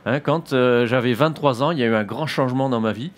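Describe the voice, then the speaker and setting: French guy in his 50s giving a church testimony, lots of euhs, mid pitch voice. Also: brisk. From northern France. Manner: hesitant, many "euh" fillers and restarts. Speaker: military chaplain giving testimony.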